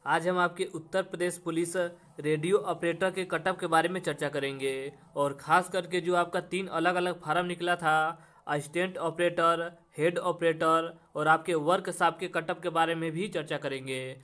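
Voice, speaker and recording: A man's voice: on the high side, steady tone, normal speed, fairly bright tone. A man hosting a coaching channel, explaining exam information step by step and addressing students directly in a repetitive way. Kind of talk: explanatory exam update presentation.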